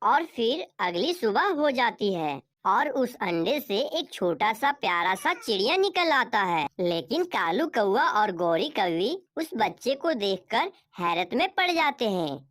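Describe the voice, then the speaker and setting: A woman's voice: medium pitch, big pitch swings, a little slow, hardly any pauses, fairly bright tone, no room sound, studio quality. A woman narrating a scripted children's story as its storyteller.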